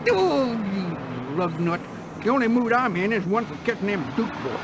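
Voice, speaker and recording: An actor playing a bumbling, gleeful Southern sheriff in a comic video game, excitable and blustering in his Southern US accent. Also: high voice, moderate pace. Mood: exasperated. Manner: excitable, stuttering, cackling. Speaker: county sheriff character. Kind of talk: comic game cutscene acting. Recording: clear noise, vehicle and siren behind.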